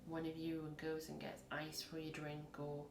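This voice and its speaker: A woman with a husky voice, reading tarot in a chatty, rambling way and acting out little scenes as she goes. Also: deep voice, monotone, moderate pace, noisy background. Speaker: tarot reader. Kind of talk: casual tarot reading to camera. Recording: echoey room.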